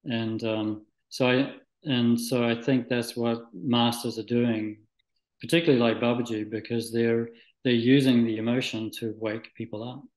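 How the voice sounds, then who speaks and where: Man, mid-pitched voice, halting reflective delivery, conversational interview answers, roomy echo. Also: steady tone, darkish tone. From Scotland, moved to New Zealand at nine. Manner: halting, thoughtful, searching for words. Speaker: interview guest, spiritual practitioner. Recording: echoey room.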